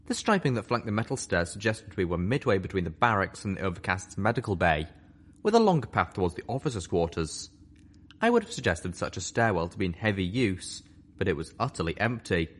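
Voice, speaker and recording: Low-voiced man reading a story expressively. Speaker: story reader. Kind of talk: audiobook narration of fan fiction.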